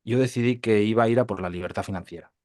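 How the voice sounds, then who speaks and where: Low-voiced man Catalonia, casual. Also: a little mellow. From Catalonia, Spain. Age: 30s. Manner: informal, halting with repeated words and tag questions. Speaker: podcast guest, digital entrepreneur. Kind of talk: casual interview storytelling.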